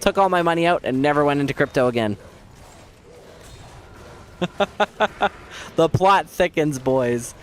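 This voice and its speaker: A man streaming a game who chats casually and jokes at his own expense with viewers, hoarse from losing his voice. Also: fairly high pitch, unhurried, pauses often, slight background noise. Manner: rambling, self-mocking, joking with viewers. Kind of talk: casual chat while playing.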